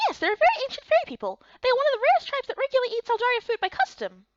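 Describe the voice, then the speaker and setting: A woman's voice: very high voice, huge pitch swings, racing, no echo, highs cut off, pitched above the performer's own voice, full character voice. A woman voicing a bubbly, chatty, kindly female fairy in a visual novel game. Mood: chatty, friendly. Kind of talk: voiced playthrough of fantasy visual novel.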